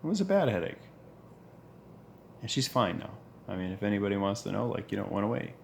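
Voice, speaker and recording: Deep, gravelly man in his forties. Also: big pitch swings, a little hiss or hum. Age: 40s. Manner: earnest, with pauses and restarts. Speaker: vlogger. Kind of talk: reflective monologue.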